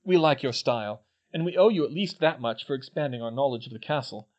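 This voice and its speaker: A man reading as a cheeky teenage wizard twin from a storybook, teasing and full of animated banter. His pitch moves about a lot, and the recording is dull and lo-fi. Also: fast talker, lightly acted. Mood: teasing, amazed.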